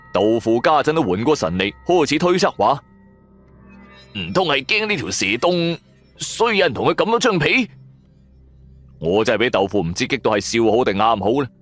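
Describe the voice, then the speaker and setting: A man narrating a supernatural story in an expressive, suspenseful way. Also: mid-range voice. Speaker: radio drama storyteller. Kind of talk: dramatic first-person supernatural story narration. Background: background music.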